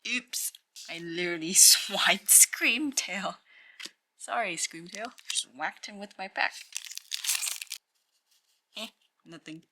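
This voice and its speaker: Slow, musing woman with a croaky morning voice. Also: medium pitch, sharp tone, a little hiss or hum. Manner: slow, musing, reads out weights. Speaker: channel host opening card packs. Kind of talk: casual hands-only unboxing commentary. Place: indoors at a tabletop.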